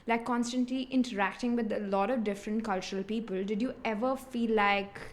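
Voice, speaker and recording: A woman's voice: medium pitch, steady tone, fairly quick. A woman hosting a podcast, asking casual, chatty questions full of "like". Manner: chatty, hedging with likes. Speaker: podcast host.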